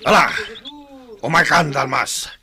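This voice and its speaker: Man acting a gravelly, blustering Ilocano war chief. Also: on the high side, measured pace, bright, forceful tone, full character voice. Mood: angry, urgent. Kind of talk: Ilocano radio drama acting.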